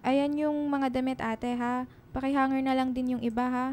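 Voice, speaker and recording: Woman acting kind protective madam in a radio drama, really high voice, chatty and caring, music behind. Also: little pitch movement, normal speed, darkish tone, natural voice. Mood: friendly. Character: kind, protective middle-class employer, a single mother.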